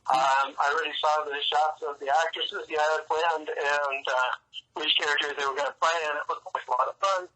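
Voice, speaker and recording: A man giving a casual interview as an author guest, in a halting, conversational manner. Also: a little high, fairly even intonation, bright, forceful tone, rough recording. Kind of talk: casual chat.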